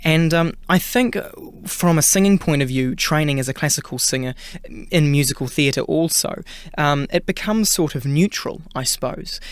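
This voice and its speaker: A man's voice: on the high side, normal speed, clear, resonant tone, no echo. A man from New Zealand with a New Zealand accent, chatting as a singer guest in a radio interview, with many fillers and restarts.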